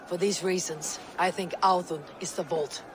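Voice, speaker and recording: An actress playing a stern, determined young Viking warrior woman in a video game, with a husky voice. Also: on the low side, fairly even intonation, moderate pace, a crowd in the background, background noise, close to an everyday voice. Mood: serious. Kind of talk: video game dialogue acting.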